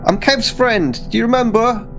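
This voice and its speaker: A man playing a tabletop role-playing game, improvising in character in a halting, repetitive, earnest way. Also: very high voice, music in the background.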